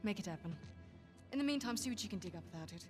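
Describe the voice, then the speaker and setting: A stern female mercenary commander, voiced by a woman, speaking in quick, curt bursts. She is firm and businesslike with her men, with music playing under the scene. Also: medium pitch, animated, lightly acted. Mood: commanding.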